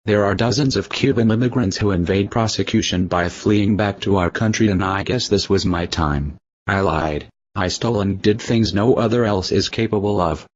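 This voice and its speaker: Low-voiced man, stiff interview answers. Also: muffled recording.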